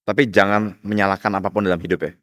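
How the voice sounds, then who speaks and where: A man's voice: low voice, little pitch movement, quick pace, a bit bright, no echo, studio quality. A man with an Indonesian accent hosting a casual interview, putting points and questions to his guest. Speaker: podcast host.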